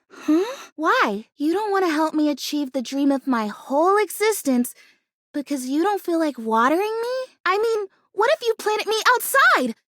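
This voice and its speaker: A woman's voice: very high voice, a bit bright, dry recording, clean studio sound, full character voice. A woman voicing a bubbly, naive, stubbornly hopeful fake houseplant in a video game, chatty and dramatic in her delivery. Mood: hurt, huffy. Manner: perky, dramatic, emphatic, chatty. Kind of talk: animated video game character acting.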